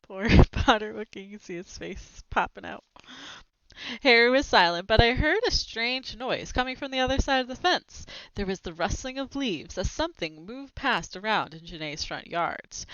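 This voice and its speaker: A woman reading a children's book aloud expressively, in an animated manner, with brief asides as she shows the pictures. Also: medium pitch, no echo, lo-fi sound. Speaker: read-aloud storyteller.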